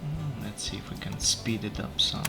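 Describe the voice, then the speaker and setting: A man whose first language is not English, casually commenting on his game as he plays, unhurried and thinking aloud, often correcting himself. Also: on the low side. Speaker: let's play gamer. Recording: game music playing behind. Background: game music.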